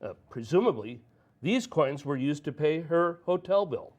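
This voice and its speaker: A man acting a gentle, knowing, persuasive angel in a theatrical radio drama style. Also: on the high side, lively intonation, normal speed.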